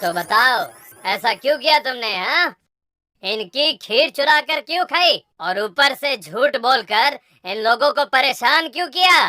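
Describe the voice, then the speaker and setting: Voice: high voice, animated, measured pace, bright, forceful tone, no echo, heavily acted. A performer voicing a pompous king in a cartoon story, theatrical and questioning. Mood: commanding. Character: village son, pompous king and scheming minister. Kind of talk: exaggerated Hindi cartoon story acting. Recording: music and jingles behind the voice.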